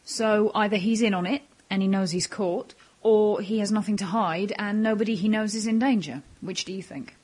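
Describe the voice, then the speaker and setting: A woman's voice: medium pitch, fairly even intonation, normal speed, hardly any pauses, a little mellow, breathy edge, close to an everyday voice. A woman playing a composed intelligence agent in an audio drama, dry, clipped and businesslike. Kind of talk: hobby audio drama acting.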